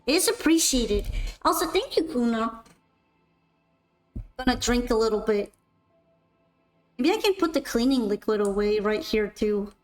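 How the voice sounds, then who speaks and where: A young woman streamer chatting casually while gaming, her voice a little raspy and worn out. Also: fairly high pitch, animated, measured pace, pauses often, reverberant, soft background music. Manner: chatty, rambling, upbeat sign-off. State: slightly raspy, tired voice. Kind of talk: casual gameplay stream chat.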